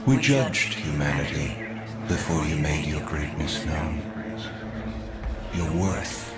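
A man playing a calm, godlike alien villain in a video game, grandiose and solemn, hushing at phrase ends. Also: rumbling low, slow pace, over loud music, full character voice. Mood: grandiose, tempting. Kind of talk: dramatic video game voice acting. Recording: voice under loud game score.

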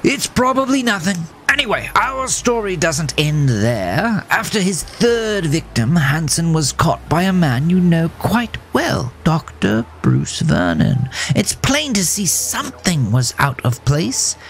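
A man's voice: fairly high pitch, very animated, measured pace, few pauses, a bit bright, soft background music, pitched above the performer's own voice, heavily acted. A man voicing a genteel, eccentric horse in a tuxedo, speaking in prim, theatrical, old-fashioned formal phrases for a horror audiodrama. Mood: grimly gossipy. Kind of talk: horror audiodrama character acting.

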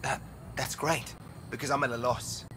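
A man's voice: medium pitch, steady tone, moderate pace, background noise, natural voice. A man voicing a flustered, apologetic male station technician in a video game.

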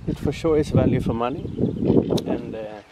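A man in his forties, a native Swedish speaker, chatting casually to his handheld camera in a halting way with many fillers. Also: medium pitch, very noisy. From Sweden. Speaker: fishing vlogger. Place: outdoors on a grassy field by woods, later in a car.

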